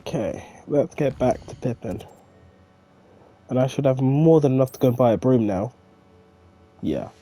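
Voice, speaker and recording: Congested man with a dark voice. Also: mid-range voice, moderate pace, long pauses. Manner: relaxed, talks to himself. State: congested with a cold. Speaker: gaming streamer. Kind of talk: casual gameplay commentary.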